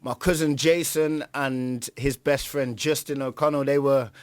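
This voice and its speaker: A man's voice: medium pitch, steady tone, measured pace, dry recording. A British man from Coventry chatting casually as an interview guest, slangy and emphatic, often repeating his phrases. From Coventry, England. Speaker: interview guest, music artist. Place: radio studio.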